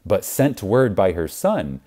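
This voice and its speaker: A man narrating an audiobook in a reverent, emphatic manner with deliberate, dramatic pauses. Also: fairly low pitch, sing-song, dark tone.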